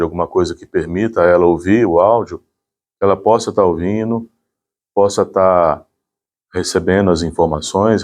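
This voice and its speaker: A man's voice: sits low, a little mellow. A man gives an informal explanation. He speaks as a volunteer presenting community work.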